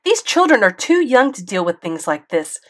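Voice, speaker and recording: A woman reading aloud, voicing an indignant, furious mother who talks in a shrill, strident voice. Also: lively intonation, fairly quick.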